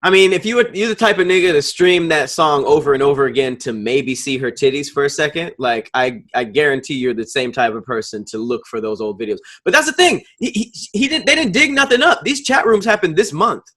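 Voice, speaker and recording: A man co-hosting a podcast, with a high-ish voice, reacting wryly and incredulously. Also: animated, a little fast, hardly any pauses, fairly bright tone. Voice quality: says he has a high voice. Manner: wry, incredulous reactions with frequent laughing. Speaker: podcast co-host. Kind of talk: casual comedic chat.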